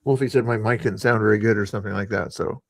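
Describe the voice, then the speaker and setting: Gravelly, laid-back man hosting a stream. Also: on the low side, fairly even intonation, fast, dry recording, clean studio sound. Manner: laid-back, talks to the chat. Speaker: live-stream host. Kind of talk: casual live-stream chat. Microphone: streaming microphone.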